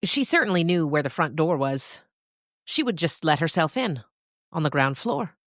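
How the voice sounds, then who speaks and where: A woman narrating a scripted story expressively, with dramatic pauses. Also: low-pitched, big pitch swings, no echo, clean studio sound.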